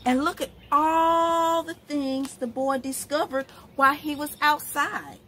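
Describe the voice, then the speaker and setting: A middle-aged woman reading a picture book aloud to young children, expressive and asking questions as she goes. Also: sits high, slow, a little hiss or hum. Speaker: storytime host, literacy educator. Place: outdoors, in front of leafy shrubs.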